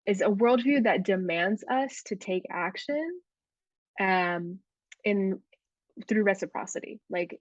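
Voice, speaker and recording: A woman's voice: on the low side, no echo. A woman, a guest herbalist, talking in a casual podcast conversation, thoughtful and often restarting as she searches for words.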